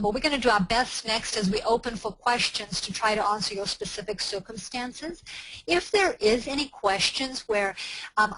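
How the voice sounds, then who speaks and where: A woman's voice: medium pitch, noisy background. Careful woman presenter. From South Africa. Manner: careful, explanatory, with frequent fillers and restarts. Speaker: webinar presenter. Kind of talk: online training presentation.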